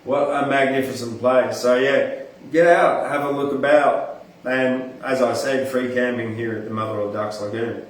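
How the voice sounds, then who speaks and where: A man's voice: mid-range voice, steady tone, normal speed. An Australian man hosting an informal poetry reading, reciting verse and rambling through chatty asides as he thinks aloud. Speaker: poetry podcast host and reader. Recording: echoey, distant mic, faint background noise.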